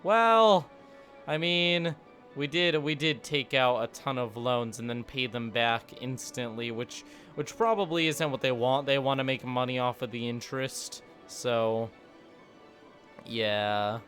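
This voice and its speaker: A man's voice: mid-range voice, a little slow, fairly bright tone, faint music behind. A man streaming a city-building game, chatting casually and joking with sarcasm. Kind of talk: casual gameplay commentary.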